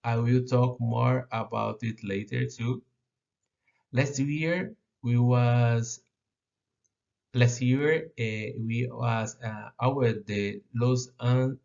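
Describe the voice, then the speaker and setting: Slow, gravelly Brazilian man presenting. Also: medium pitch, darkish tone, highs cut off. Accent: Brazilian Portuguese. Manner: halting non-native English with fillers and restarts. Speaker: conference speaker. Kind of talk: conference presentation.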